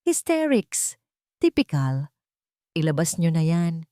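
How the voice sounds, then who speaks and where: Woman acting an imperious, sneering rich wife, swooping pitch. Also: big pitch swings, slow, dark tone, no echo, clean studio sound, exaggerated voice. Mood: mocking. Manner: imperious, mocking. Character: cold scheming wealthy wife, commanding and sneering.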